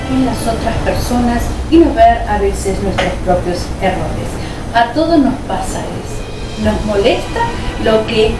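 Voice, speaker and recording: A woman's voice: medium pitch, echoey room, recorded from a distance, poor audio. A middle-aged woman from Argentina with an Argentine Spanish accent, giving an earnest, teacherly devotional talk as host, reading scripture aloud. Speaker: host of a faith talk show. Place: small home studio. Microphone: desk microphone in front.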